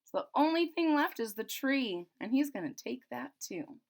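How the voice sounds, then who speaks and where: A woman's voice: high-pitched, very animated, normal speed, gravelly. A woman reading a children's story aloud expressively, with simple casual asides explaining it as if to young children. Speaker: storybook reader.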